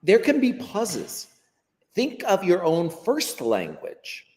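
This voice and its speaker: A man's voice: sits high, huge pitch swings, slow, darkish tone. A man with a Western American accent, a guest teacher explaining his ideas unscripted over a video call, thoughtful and often repeating words as he gathers his thoughts. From United States. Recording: long hall-like reverb.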